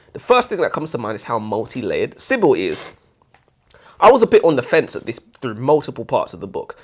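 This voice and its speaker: British male co-host, lively pitch swings, blunt and argumentative, informal book chat. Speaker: book review podcast co-host. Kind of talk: casual book discussion and debate.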